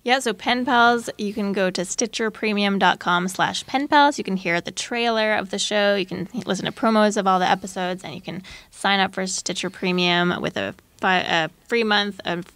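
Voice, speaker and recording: A woman's voice: medium pitch, moderate pace, few pauses, a bit bright, no echo. A woman chatting casually as an interview guest, talking about her work as a show creator. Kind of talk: casual chat.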